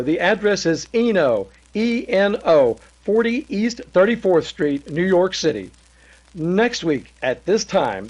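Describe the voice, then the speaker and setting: A polished, emphatic announcer of a 1930s radio commercial played by a man. The voice sits high and formal, with a slightly muffled, lo-fi sound. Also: darkish tone.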